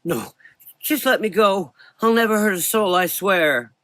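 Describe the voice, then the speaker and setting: Guy doing a scared pleading boy, high shaky stammery voice, horror audio drama. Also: expressive, measured pace, pushed and bright, no echo, exaggerated voice. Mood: desperate pleading. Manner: trembling, stammering. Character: terrified young coachman boy, pleading and guilt-ridden.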